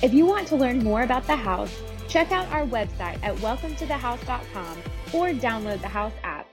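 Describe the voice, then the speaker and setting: A woman's voice: a little high, big pitch swings, normal speed. Upbeat woman over music. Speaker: podcast announcer. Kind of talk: scripted podcast intro. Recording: music bed behind the voice.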